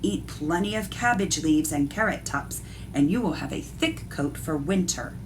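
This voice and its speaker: A woman reading the part of a motherly storybook rabbit, gently instructing her little one. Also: sits low, expressive, normal speed, noisy background. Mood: motherly advice.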